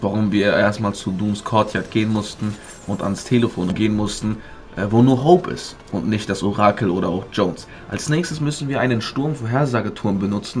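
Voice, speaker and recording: A man with a fairly low voice commentates a game walkthrough. He explains step by step and addresses his viewers directly, with faint noise behind him. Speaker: gaming video creator.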